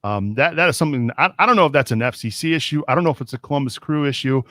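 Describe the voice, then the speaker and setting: A Midwestern American man hosts a casual sports talk show with a middle-pitched voice. He gets heated and animated as he rants. The sound is dry and close to the mic. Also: rapid-fire, no echo. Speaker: podcast host.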